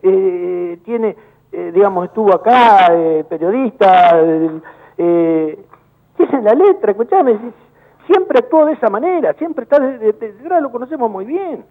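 A high-pitched Argentine man on a tinny phone line, heated and indignant. He stumbles, repeats words in quick bursts and pushes his point hard. He comes across as a business chamber director defending himself in a call-in interview. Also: expressive. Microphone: telephone.

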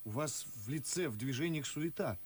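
A forthright young gentleman speaks verse in a radio play. His voice sits fairly high. He comes across as restless and ironic, a suitor with questions. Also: animated, moderate pace, husky. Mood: probing, ironic. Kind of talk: theatrical audio drama in verse.

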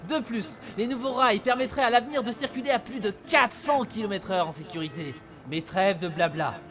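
A man's voice: sits high, fairly quick, music in the background, close to an everyday voice. A man playing an overconfident, exalted young engineer in a comic audio drama, declaiming in a pompous, theatrical way. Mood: exultant.

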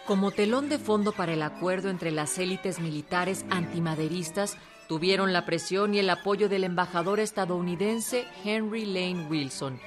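Lower-pitched woman narrator. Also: moderate pace, hardly any pauses. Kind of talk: scripted documentary narration for radio drama. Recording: music bed behind narration.

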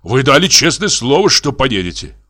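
Man acting an indignant, quick-tempered gentleman. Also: mid-range voice, very animated, pitched above the performer's own voice. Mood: insistent. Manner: indignant, reproving. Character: stern, easily angered gentleman, jealous brother.

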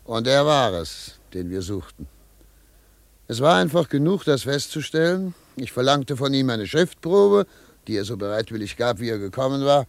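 A man's voice: mid-range voice, sing-song, moderate pace, creaky. A stern, impatient investigator from a radio drama. His intonation swings widely as he fires clipped, commanding questions and demands exact answers. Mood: dry, narrating. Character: stern, impatient male investigator interrogating a suspect. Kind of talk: German radio drama acting.

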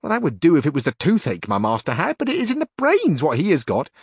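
Man reading as a fretful older housekeeper, high and shrill, lively swooping pitch, dry sound. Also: quick pace, slightly rough, no room sound, exaggerated voice. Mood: aghast.

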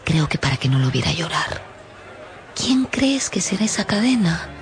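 An insinuating society gossip in a radio drama. The voice is fairly low and very animated, confiding and teasing over clear background music. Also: female voice, moderate pace. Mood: teasing, conspiratorial. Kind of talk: Spanish-language radio drama acting.

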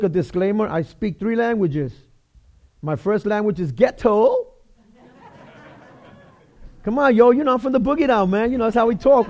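Middle-aged Bronx-raised pastor, New York accent, high voice, chatty and joking from the pulpit. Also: male voice, darkish tone, highs cut off. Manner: chatty, joking, many fillers and restarts. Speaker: guest pastor. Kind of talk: casual sermon.

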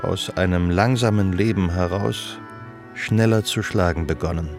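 A male radio-play narrator with a low, creaky voice. He tells the story in measured, literary phrases over clear music. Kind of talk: scripted radio drama narration.

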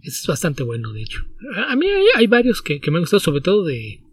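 Husky guy chatting comics on a podcast, sounds a bit far from the mic, wry and laid back. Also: on the high side, fast. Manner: relaxed, wry, reads listener questions aloud. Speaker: podcast co-host. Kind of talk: casual comics chat.

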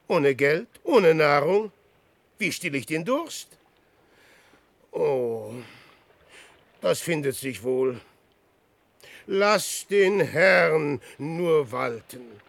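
An actor playing a boastful, self-pitying dreamer and adventurer in a radio play, declaiming between hushed brooding and grand exclamation. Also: male voice, on the high side, very animated, extremely slow, vocal fry, pauses often, dry recording, exaggerated voice. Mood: smug, self-pitying. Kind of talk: theatrical German radio play monologue.

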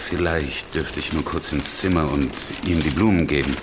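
A courteous male visitor with an extremely low voice, speaking in a measured, polite way. It is radio drama, with clear noise behind the voice. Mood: polite, restrained. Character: courteous male hospital visitor bringing flowers. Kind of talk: German radio drama acting.

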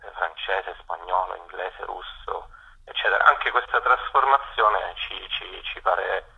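A man being interviewed on radio by phone, explaining things in a halting way with many pauses. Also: deep voice, steady tone, creaky, pushed and bright, husky. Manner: hesitant, halting with frequent pauses. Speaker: community radio programmer, interview guest. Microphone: phone call. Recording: dull telephone call.

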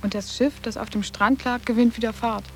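High-voiced, challenging schoolgirl, played by a woman. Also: steady tone, a little fast, a little mellow, soft background music, close to an everyday voice. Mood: cool, mocking. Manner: matter-of-fact, pointed questioning. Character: sarcastic, challenging teenage schoolgirl, coolly matter-of-fact. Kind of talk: literary radio drama dialogue.

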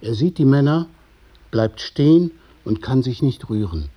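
A man narrating a radio play in the present tense, in a measured, literary storytelling manner. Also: medium pitch, big pitch swings, warm tone. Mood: observing. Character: observing narrator of stage directions.

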